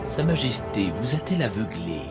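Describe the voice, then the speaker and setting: An actor playing a scornful, sanctimonious alien hierarch, theatrical and accusing, in a French video game dub. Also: male voice, lowish voice, very animated, normal speed. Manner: theatrical, haughty. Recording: loud music under the dialogue.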